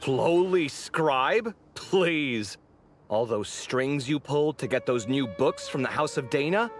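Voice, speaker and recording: A man's voice: mid-range voice, lively intonation, takes it slowly, soft background music. A man voicing a dramatic young architect in a video game, easily riled and sarcastic. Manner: theatrical, sarcastic. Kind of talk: video game character dialogue.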